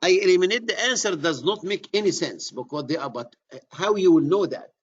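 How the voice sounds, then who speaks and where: A man's voice: high voice, expressive, fairly quick, husky, lo-fi sound. A middle-aged man with an Egyptian accent teaching a surveying class, repeating key words and restarting phrases as he explains. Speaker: surveying instructor. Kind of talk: classroom lecture.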